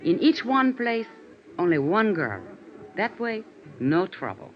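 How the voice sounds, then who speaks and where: Lilting, coy Latin bar hostess. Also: female voice, mid-range voice, slow pace, a little hiss or hum. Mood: teasing. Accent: Latin American Spanish. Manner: broken English, lilting and expressive. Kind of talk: 1950s radio drama acting. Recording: dull vintage radio recording with music.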